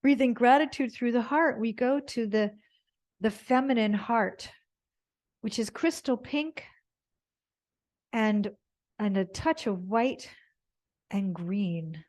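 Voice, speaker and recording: A woman teaching gentle yoga, leading an unscripted spiritual body scan in a meandering, halting way as she searches for words. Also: fairly high pitch, animated.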